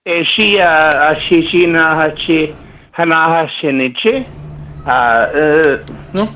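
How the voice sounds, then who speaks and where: A man's voice: a little high, bright, forceful tone, a little hiss or hum. A man from Minneapolis whose first language is Latvian, calling a radio show from his front porch and rambling hesitantly with many fillers. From Minneapolis, United States. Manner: hesitant, halting, full of fillers. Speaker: caller. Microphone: phone. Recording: dull phone line.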